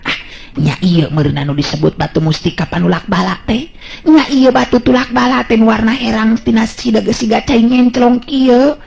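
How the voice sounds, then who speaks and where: A man's voice: high-pitched, creaky, darkish tone, slight background noise, voice pushed up. A man voicing a kindly, anxious older village woman in a Sundanese radio story, muttering hesitantly with repeated words. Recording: dull lo-fi radio-style recording.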